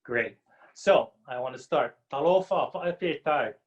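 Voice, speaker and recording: Slow, deliberate Samoan-born man on a call. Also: on the high side, animated. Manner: slow, deliberate, wordy with many ums. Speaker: guest speaker. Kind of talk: webinar talk. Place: home. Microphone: video call. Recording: dull lo-fi video call audio.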